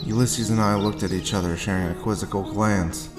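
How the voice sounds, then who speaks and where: A man playing a hard-boiled adventurer, narrating an audio drama in a measured, dramatic first-person way. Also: lowish voice, fairly even intonation, over music, natural voice. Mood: reflective. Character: weary hard-boiled male adventurer narrating his monster fight. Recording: echoey with long reverb.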